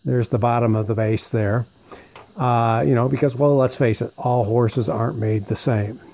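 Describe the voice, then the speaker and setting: A man with a soft-edged, mellow voice presents in an easy show-and-tell style. He talks conversationally and thinks aloud as he goes, at a medium pitch. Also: steady tone, normal speed, vocal fry, slight background noise, rough recording. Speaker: presenter showing vintage military radio equipment. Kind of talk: casual unscripted show-and-tell narration.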